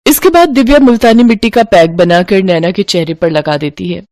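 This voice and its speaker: A woman narrating a scripted family story in an expressive storytelling style. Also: mid-range voice, brisk, a little hiss or hum.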